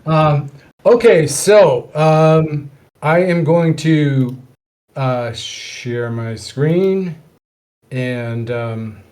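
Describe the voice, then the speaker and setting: A man facilitating a casual online meeting, talking haltingly as he thinks aloud. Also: on the high side, a little mellow. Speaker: working group meeting facilitator. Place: online group call. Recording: online call with clear background noise.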